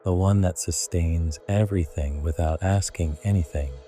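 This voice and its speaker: Really low voiced guy doing sleep meditation, hushed and deliberate, soft dark tone, music underneath. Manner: slow, hushed, deliberate delivery with short phrases. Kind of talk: scripted guided meditation narration.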